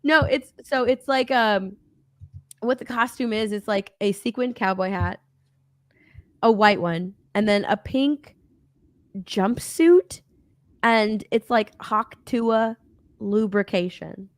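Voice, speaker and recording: A woman's voice: medium pitch, slow pace, pauses often, no room sound. A woman from Texas co-hosting a casual stream chat, rambling, laughing often and trailing off mid-sentence. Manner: rambling, giggly, trails off and restarts. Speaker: co-host.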